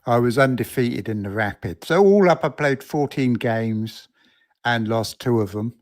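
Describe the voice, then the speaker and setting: A man co-hosting a podcast with a slightly hoarse voice, wry and easygoing. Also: medium pitch, expressive, a little slow. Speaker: podcast co-host. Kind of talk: casual podcast chat.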